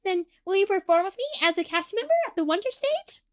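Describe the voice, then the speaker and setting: Brief: storybook girl performer, very high and squeaky, gushing, innocent, excitable. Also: a little fast. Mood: eager.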